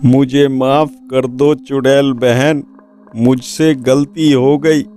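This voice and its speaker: A man's voice: medium pitch, flat delivery, warm tone, voice pushed up, lightly acted. A man voicing a frightened village man who pleads for his life in a horror cartoon story. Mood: begging. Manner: pleading, drawn-out. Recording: music behind the voice.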